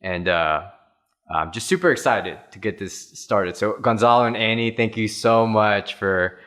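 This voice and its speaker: A man's voice: lowish voice, measured pace, fairly bright tone. A man hosting a podcast, talking casually and rambling with many fillers as he introduces his guests. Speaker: podcast host.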